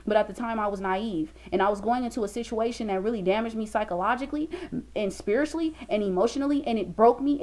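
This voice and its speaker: A woman's voice: medium pitch, darkish tone. Woman, 20s, motivational vlogger; emphatic, repetitive preaching into a laptop cam at home. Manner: emphatic, preachy, repeats for stress. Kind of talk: motivational talk to camera. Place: small room indoors.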